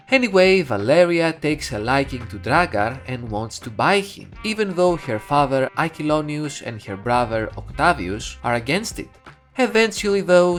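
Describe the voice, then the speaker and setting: Male reviewer with a Greek accent, mocking and sarcastic, highly animated, faint music underneath. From Greece. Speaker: movie reviewer. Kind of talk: comedic movie review narration.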